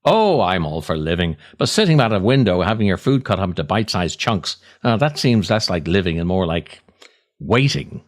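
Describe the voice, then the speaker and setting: Man as cynical indulgent inner self, comedy podcast, argumentative, dry, blunt, expressive intonation. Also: low-pitched, normal speed, voice pushed down. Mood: wry resignation. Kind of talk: comic scripted dialogue with himself.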